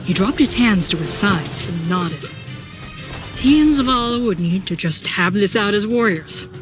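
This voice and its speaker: A woman's voice: medium pitch, animated, unhurried. Woman narrating drama over faint music.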